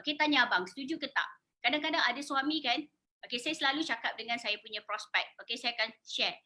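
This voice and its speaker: A woman's voice: sits high, fast talker, sharp tone. A woman in her forties with a Malaysian accent, giving an informal live sales presentation in an emphatic, repetitive way, often checking in with listeners through questions. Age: 40s. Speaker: product presenter and sales promoter.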